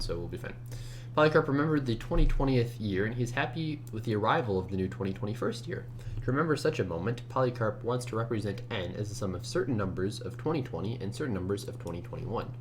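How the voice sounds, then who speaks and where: Low-voiced man thinking aloud over typing. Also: brisk. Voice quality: low morning voice. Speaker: competitive programmer narrating a screencast. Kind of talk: casual think-aloud commentary while coding. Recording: steady hum and keyboard typing noise. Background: computer keyboard typing, hum.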